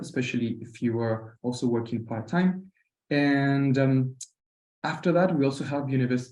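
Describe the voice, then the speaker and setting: A man with a Costa Rican Spanish accent speaks in a mellow, relaxed voice through roomy webinar audio. He presents informally as a university representative, often hesitating and repeating his words. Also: mid-range voice. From Costa Rica.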